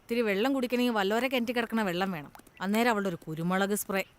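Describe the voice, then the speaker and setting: A woman's voice: medium pitch, very fast, natural voice. An actress playing a weary, practical middle-aged mother who chides in a matter-of-fact way in naturalistic film dialogue. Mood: exasperated.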